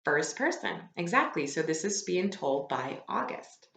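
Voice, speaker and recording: A woman's voice: low-pitched, sing-song, measured pace, creaky, a bit bright. A woman teacher reading a book aloud to a class in a conversational, explanatory way. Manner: conversational, explanatory, poses questions to listeners. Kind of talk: read-aloud with asides to students. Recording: roomy echo.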